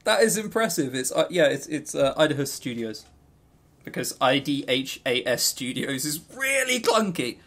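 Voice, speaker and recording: A man co-hosting a podcast in casual chat, talking informally and haltingly with repeated words. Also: a little high, sing-song, a bit bright. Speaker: podcast co-host.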